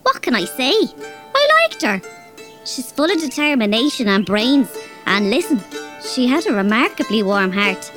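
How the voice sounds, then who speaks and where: A woman's voice: on the high side, big pitch swings, moderate pace, a bit bright, faint music behind. A woman playing a cocky Irish robber girl. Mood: bossy. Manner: bossy, threatening, blunt. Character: tough cocky robber girl, bossy and blunt. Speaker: narrator. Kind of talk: audio drama acting.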